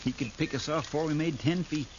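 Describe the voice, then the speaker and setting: A man's voice: a little high, fast talker, lightly acted. A man playing an anxious, loyal deputy sidekick, folksy and deferential, in a 1950s radio western. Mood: worried. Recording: very noisy, dull old radio recording.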